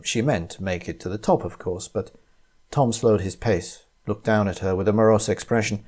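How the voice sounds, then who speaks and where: A man reading a short story aloud as its narrator, in an expressive, dramatic way. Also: on the low side, normal speed.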